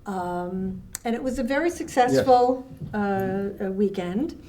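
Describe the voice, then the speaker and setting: A woman recalling her work as a festival co-founder in a conversational documentary interview, haltingly searching for words. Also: mid-range voice, mellow. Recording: distant mic with background noise.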